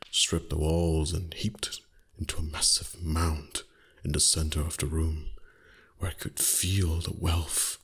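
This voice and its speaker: A man voicing a proud, greedy, centuries-old dragon in an ASMR roleplay, speaking in a husky, breathy voice with slow, deliberate, haughty delivery that savours the words. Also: a bass voice, a bit bright, exaggerated voice. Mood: savouring, reverent.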